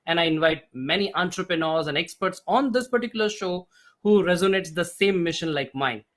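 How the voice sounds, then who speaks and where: A man's voice: high-pitched, animated, fairly quick, fairly bright tone. A middle-aged man hosting a podcast, introducing his guest in an eager, admiring way with slightly formal phrasing. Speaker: podcast host.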